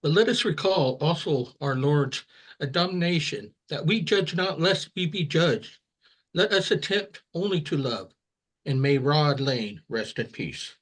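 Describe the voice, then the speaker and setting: A man's voice: fairly high pitch, expressive, measured pace, a bit bright. A man playing a solemn minister at a graveside funeral in a horror script table read.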